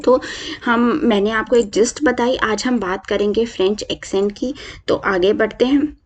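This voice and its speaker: A young woman teaches an online language lesson, explaining slowly and switching between Hindi and English with frequent fillers. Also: on the high side.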